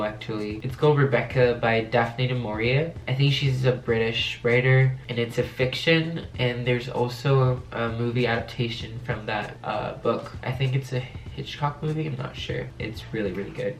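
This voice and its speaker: A man's voice: medium pitch, roomy sound, low-quality recording. A young man with a French accent chatting casually to camera as a video blogger, his voice affected by allergies. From France. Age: young adult.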